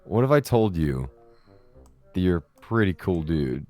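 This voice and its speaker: A young man with a deep voice, telling rambling, crude, swear-filled stories in a casual chat. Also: very animated, unhurried, mellow, faint music behind. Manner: crude, rambling storyteller who swears a lot.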